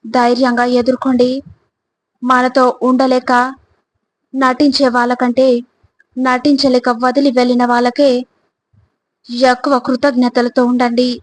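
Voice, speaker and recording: A woman reading scripted motivational narration in a deliberate, instructive manner, addressing the listener directly. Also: sits high, little pitch movement, poor audio.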